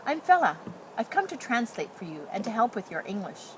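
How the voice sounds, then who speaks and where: A woman's voice: on the high side, very animated, fairly quick, low-quality recording. A woman voicing a friendly, welcoming female translator who helps a child in a storybook. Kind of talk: picture-book read-aloud. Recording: noticeable background noise.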